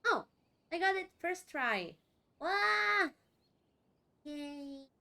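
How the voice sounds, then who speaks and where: A woman's voice: extremely high pitch, big pitch swings, drawn-out words, gravelly, sharp tone. A woman streamer playing a video game, giving casual let's-play chat. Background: game music.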